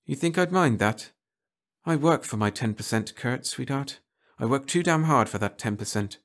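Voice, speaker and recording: A tough woman agent, read by a man in an audiobook story. She is dry and sardonic, scolding briskly and unimpressed. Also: mid-range voice, expressive, fairly bright tone, pitched above the performer's own voice.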